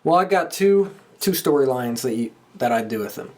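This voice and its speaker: A man co-hosting a podcast, chatting informally and haltingly about wrestling. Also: medium pitch, lively intonation, a little mellow. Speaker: podcast co-host. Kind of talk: casual wrestling discussion.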